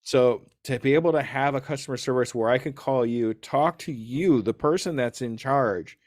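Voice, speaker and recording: A man's voice: mid-range voice, normal speed, no room sound. Casual male podcast interviewer, dry close sound.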